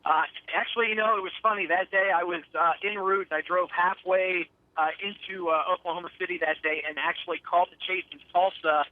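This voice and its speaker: Male storm chaser, muffled telephone line, slightly high voice, hesitant matter-of-fact eyewitness report. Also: steady tone, fairly quick, dry recording. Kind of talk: live eyewitness phone report. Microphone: phone call.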